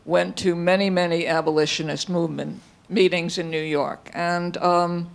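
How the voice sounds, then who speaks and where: High, creaky-voiced man. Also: level tone, normal speed. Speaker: discussion participant. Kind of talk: informal remarks at a public talk. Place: lecture hall.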